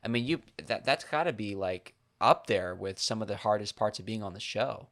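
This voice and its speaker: A man hosting a podcast interview, relaxed and thoughtful, often restarting his sentences. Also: lowish voice, normal speed. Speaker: podcast host. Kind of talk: casual interview.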